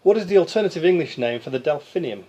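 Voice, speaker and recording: A man's voice: fairly high pitch, lively intonation, fast, mellow, lo-fi sound, slight background noise. A man acting as quiz master, reading questions aloud to contestants at a live quiz. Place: live quiz night, group in a room.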